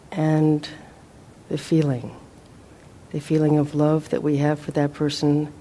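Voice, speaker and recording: A woman leading a guided meditation as a teacher, speaking gently and in a measured way with long pauses. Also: sits low, a little hiss or hum.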